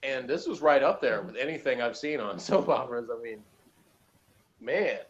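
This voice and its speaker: A man's voice: mid-range voice, lively intonation, moderate pace. A man chatting casually as a co-host, laughing a lot and rambling with restarts. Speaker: co-host reviewing a TV episode. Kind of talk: casual chat. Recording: dull lo-fi sound.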